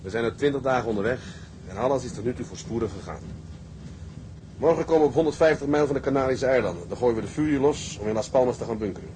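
An actor playing a hearty, seasoned tugboat captain in a Dutch period drama, with a brisk manner. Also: male voice, mid-range voice, animated, noisy background, natural voice. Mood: caring.

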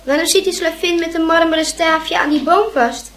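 A woman's voice: very high-pitched, normal speed, a bit bright. A woman playing a curious, insistent twelve-year-old boy in a radio play.

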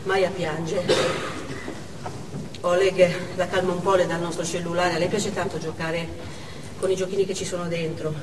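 An actress playing a watchful, worried hostage parent, narrating in a dramatic stage monologue. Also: female voice, on the low side, level tone, normal speed, pauses often, lightly acted. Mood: anxious, tender. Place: on stage in a theatre. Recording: echoey theatre hall from far off, noisy.

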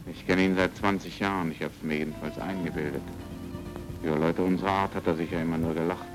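An actor as a terse, low-voiced noir man. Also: steady tone, fast talker, over music, close to an everyday voice. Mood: weary, bitter. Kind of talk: radio crime drama acting.

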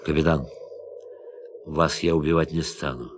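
An actor playing a calm, defiant astronaut in a radio play, speaking in a measured, quietly intense way. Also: male voice, a bass voice, background noise. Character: calm defiant astronaut who turned on his crew.

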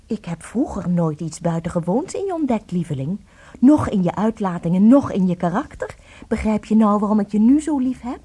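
A woman playing a devoted wife in a radio play, dramatic and intimate, swinging between tenderness and cold bitterness in a husky voice. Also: medium pitch, very animated, moderate pace, mellow. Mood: tender.

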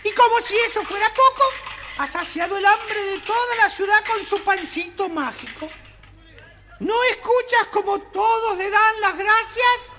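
An actor voicing an excitable, soft-hearted, grumbling little male dwarf in a children's audio storybook, theatrical and exclamatory. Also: extremely high pitch, unhurried, exaggerated voice. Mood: chatty, mock grumbling.

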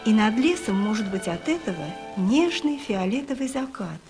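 A woman's voice: fairly high pitch, very animated, normal speed. A woman leading a music lesson for young children, asking them questions and reciting verse expressively.

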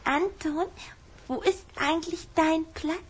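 A very high, girlish voice as an affectionate little vampire girl in a children's radio play. She is eager, coy and excitable. There is clear noise in the recording. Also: unhurried. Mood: smitten, coy. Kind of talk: children's audio drama acting.

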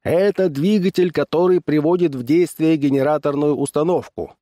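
Man as a showy, high-pitched fairground barker. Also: normal speed, dark tone, dry recording, studio quality, close to an everyday voice. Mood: dramatic hype. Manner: emphatic, dramatic sales patter. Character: showy male fairground barker hyping a dangerous exhibit.

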